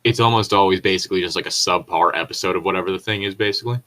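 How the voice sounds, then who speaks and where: Low-pitched man, casually rambling commentary. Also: very fast, fairly bright tone. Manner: sweary, rambling, incredulous. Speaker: co-host reading and reacting to online horror stories. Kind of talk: casual commentary and reading aloud.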